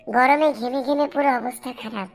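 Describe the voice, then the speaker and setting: A woman's voice: sits high, a little fast, vocal fry, slight background noise. A woman voicing a polite, eager schoolchild in a cartoon. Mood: friendly. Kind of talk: didactic cartoon dialogue.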